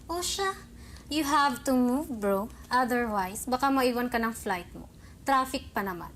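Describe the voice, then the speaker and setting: A woman's voice: a little high, expressive, measured pace, fairly bright tone, slight background noise. A woman with a Filipino accent playing a spoiled, spiteful rich older sister in an amateur radio drama. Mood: brisk, dismissive. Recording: music playing behind the voice.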